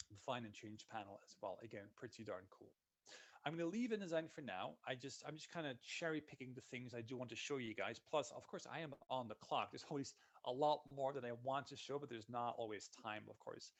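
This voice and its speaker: A man, a solutions consultant giving a relaxed, conversational walkthrough of software in a live webinar. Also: mid-range voice, brisk. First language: Dutch. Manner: relaxed, conversational walkthrough narrating each click.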